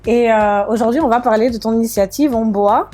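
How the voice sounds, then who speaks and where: A woman's voice: fairly high pitch, brisk, warm tone. A woman hosting an interview magazine show, opening with a polished intro and then asking questions. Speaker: host.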